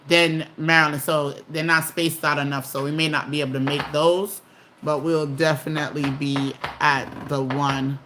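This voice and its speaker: A woman's voice: low voice, background noise. A woman casually hosting a live stream, chatting and reading viewer comments aloud.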